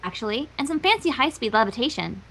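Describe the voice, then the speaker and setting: A woman playing a modest, brainy young female unicorn pony, explaining things briskly. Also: on the high side, big pitch swings, sharp tone, husky, full character voice, rough recording. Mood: pleased, modest.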